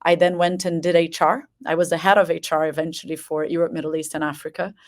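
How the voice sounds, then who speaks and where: A woman from Brazil in her forties speaks as a webinar guest over a video call. Her voice is notably low. She talks in a steady, measured way, filling pauses with uh and um and repeating words as she goes. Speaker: guest, company president. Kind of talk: self-introduction in webinar.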